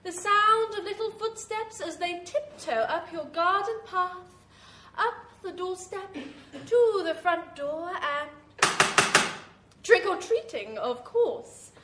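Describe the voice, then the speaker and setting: An actress playing a beguiling, gleefully macabre hostess of a 1940s-style horror radio show, with theatrical, teasing phrasing. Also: female voice, very high voice, animated, slow, background noise, exaggerated voice. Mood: teasing, ominous. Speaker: host. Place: small theatre before an audience. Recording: echoey live stage.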